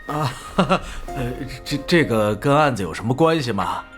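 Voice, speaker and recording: An actor voices a timid, overworked male employee from an animated crime drama. He stammers and pleads nervously, his pitch swinging widely. There is faint music underneath. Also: a little slow, natural voice. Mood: flustered, dejected.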